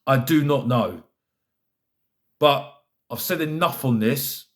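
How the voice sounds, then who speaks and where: A man's voice: mid-range voice, takes it slowly. A man giving an opinionated football fan rant, exasperated and emphatic, repeating his phrases to drive points home. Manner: exasperated, fed up.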